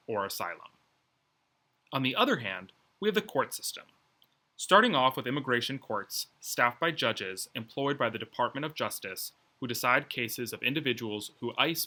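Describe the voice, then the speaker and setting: A man presenting an educational video in a scripted, measured, explanatory way. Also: medium pitch, bright, forceful tone. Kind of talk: scripted informational narration.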